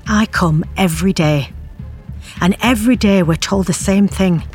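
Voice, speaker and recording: A woman's voice: medium pitch, moderate pace, darkish tone, faint music behind, lightly acted. An actress playing a bereaved middle-aged mother in an audio drama, confiding her story in a plain-spoken, wry and bitter way. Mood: weary, bitter. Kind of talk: audio drama narration, intimate monologue.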